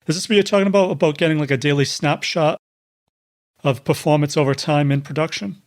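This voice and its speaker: Man, podcast host, slightly high voice, rapid delivery, conversational interviewing. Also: fairly even intonation.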